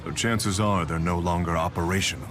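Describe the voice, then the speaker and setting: Man as a deep-voiced, commanding king. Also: a little fast, a crowd in the background, lightly acted. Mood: grave, resolute. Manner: terse, authoritative. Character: stern, commanding king, resolute and proud. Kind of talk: video game voice acting. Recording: loud music behind the voice. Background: loud background music.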